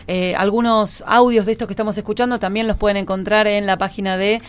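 Smooth-voiced woman radio co-host. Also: mid-range voice, fairly even intonation, quick pace. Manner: casual, informal. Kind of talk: live radio presenting.

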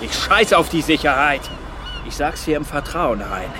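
A man playing a rough, defiant working man, gruff and agitated, in a German radio drama. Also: a little high, expressive, normal speed, noisy background, close to an everyday voice. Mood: grumbling defiance.

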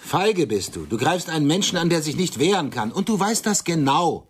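An actor playing a sharp-tongued, condescending intellectual man in a German radio play, articulate and insistent. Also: a little high, sing-song, normal speed, husky. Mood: accusing. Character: Serge, male friend, sharp-tongued, lecturing, condescending intellectual. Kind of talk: radio play acting, dialogue.

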